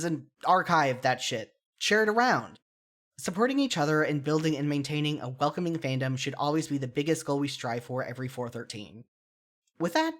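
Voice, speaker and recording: Podcast host, mid-range voice, solo commentary; earnest, opinionated, casually self-deprecating. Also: medium pitch.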